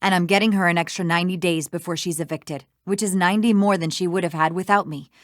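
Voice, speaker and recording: A woman voicing an impatient, defensive professional in a video game, with a brisk, patronizing manner. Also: on the low side, steady tone, no room sound, clean studio sound, close to an everyday voice. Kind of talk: video game dialogue acting.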